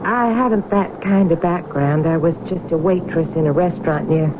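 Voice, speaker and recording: An actress in a 1970s radio drama playing a gracious, modest young farm-raised bride with a friendly, polite manner. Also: female voice, lowish voice, normal speed, natural voice. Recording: noisy, muffled vintage radio broadcast.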